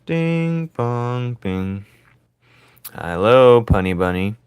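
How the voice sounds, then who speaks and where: A man with a raspy voice streaming his drawing, thinking aloud in casual chat and joking with viewers. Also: mid-range voice, expressive, extremely slow, a little mellow, no room sound, clean studio sound.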